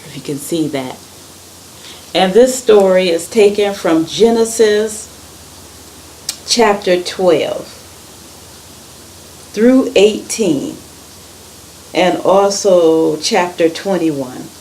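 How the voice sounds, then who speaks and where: A woman with a fairly low-set voice reads a children's story aloud at a slow, careful pace. Her pitch rises and falls in lively, expressive sweeps. A faint hiss sits behind her words. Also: low-quality recording. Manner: expressive, deliberate storybook delivery.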